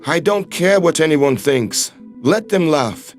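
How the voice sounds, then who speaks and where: A man acts out an earnest human ambassador from a science fiction audio drama. The voice sits a little high for a man and speaks in a heartfelt, imploring manner, with soft music behind it. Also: lively intonation, slow. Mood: devoted, earnest. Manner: pleading, heartfelt, occasional swearing. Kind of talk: sci-fi audio drama dialogue.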